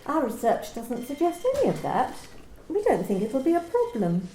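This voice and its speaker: A woman's voice: sits high, huge pitch swings, normal speed, warm tone, roomy sound. A woman playing a cold corporate manager. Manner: cool, corporate, condescending. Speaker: company manager. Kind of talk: amateur radio play reading.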